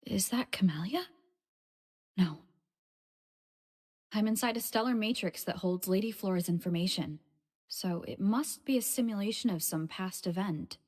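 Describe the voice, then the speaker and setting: A woman playing an earnest, thoughtful young female adventurer in a video game, musing to herself in a hushed, breathy voice. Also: medium pitch, normal speed, pauses often, natural voice. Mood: puzzled.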